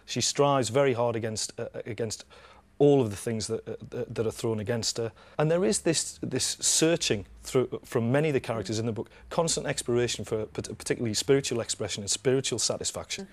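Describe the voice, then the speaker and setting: A man talking as a guest in a book discussion, halting and often repeating words. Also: medium pitch. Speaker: panel guest.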